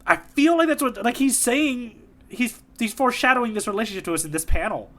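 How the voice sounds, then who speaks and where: A man's voice: very high-pitched, lively intonation, a little fast, bright, forceful tone. A man co-hosting a podcast in casual conversation, eager and keeping his partner in the loop with frequent "right?" check-ins. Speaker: podcast co-host. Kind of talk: casual manga discussion chat.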